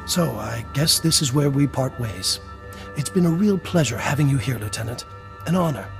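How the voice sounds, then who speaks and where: A man playing a friendly, earnest middle-aged small-town mayor in a video game, warm, effusive and a little hesitant. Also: mid-range voice, expressive, moderate pace, vocal fry, soft background music. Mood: grateful.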